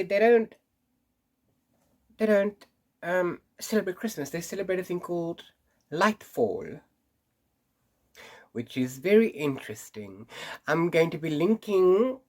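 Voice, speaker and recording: A man's voice: fairly high pitch, darkish tone. A man who hosts a book channel, chatting casually to camera in a rambling way, full of restarts and little laughs, while congested and sniffly from hay fever. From South Africa. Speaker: book vlogger.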